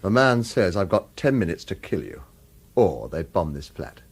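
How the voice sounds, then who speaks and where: An actor playing a wily, world-weary hired killer in a radio thriller, with wry, informal delivery. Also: male voice, low-pitched, big pitch swings, normal speed, breathy edge, exaggerated voice. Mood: tense. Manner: wry, informal, urgent persuasion.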